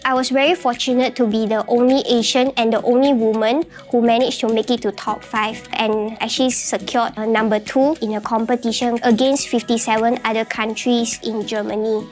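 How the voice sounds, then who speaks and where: High-voiced young Malaysian woman. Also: flat delivery, moderate pace, hardly any pauses, darkish tone, faint music behind. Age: 20s. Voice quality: high-pitched voice. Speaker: entrepreneur. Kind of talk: personal story narration.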